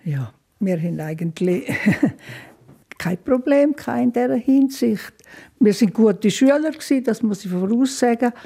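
An older woman with a Swiss German accent, recalling her childhood in a thoughtful interview, with frequent fillers and soft laughs. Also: a little high, animated, a little slow, creaky, slightly rough. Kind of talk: reflective life-story interview.

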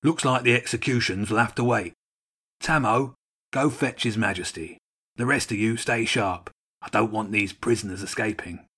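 Storybook seagull squad commander, voiced by a man: stern, bossy, husky, clipped military delivery. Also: on the low side, moderate pace, pushed and bright. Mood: stern, suspicious. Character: bossy male seagull soldier leading a squad.

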